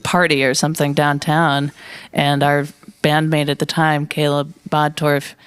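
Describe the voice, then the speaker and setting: This man is a podcast guest with a fairly high voice. His voice is clear and smooth, and he tells his jokes dryly. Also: measured pace. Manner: wry, deadpan jokes. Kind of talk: casual chat.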